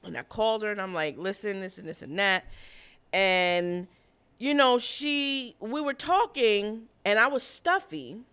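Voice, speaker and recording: A woman co-hosting a podcast who tells stories in casual chat, blunt and animated, and swears freely. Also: medium pitch, unhurried, smooth. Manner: blunt, profane and animated storytelling. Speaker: podcast co-host.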